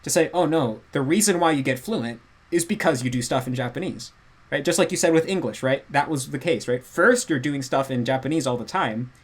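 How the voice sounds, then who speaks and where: Man, casual guest chat, earnest, animated, explains at length. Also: mid-range voice, fairly quick, slightly rough. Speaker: guest language learner.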